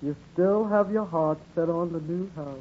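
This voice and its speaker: A woman's voice: on the low side, normal speed, breathy edge. An actress playing a devoted, attentive wife and mother in a 1940s radio drama. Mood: tender, proud. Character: devoted, attentive wife and mother welcoming her husband home. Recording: dull, muffled 1940s radio recording.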